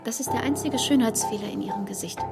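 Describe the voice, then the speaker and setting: An actress playing a kindly, tactful genteel lady in a period radio drama, measured and appraising. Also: fairly high pitch, vocal fry. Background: cello music.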